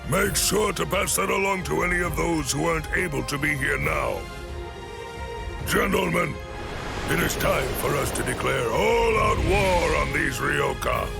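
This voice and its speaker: Anime game casting: stern ancient commander, heavily creaky voice, solemn and formal, music behind. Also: male voice, fairly high pitch. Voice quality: gravelly, creaky. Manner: formal, authoritative, measured. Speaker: video game character voice actor. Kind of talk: scripted anime game dialogue.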